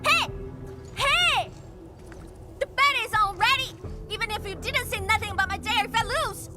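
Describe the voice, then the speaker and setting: Cocky little game catgirl, super high squeaky voice, bouncy and taunting, music behind. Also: normal speed. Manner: playful, scrappy, taunting, quirky slangy talk. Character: brash young feline girl, cocky, boastful fighter. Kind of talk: video game voice acting.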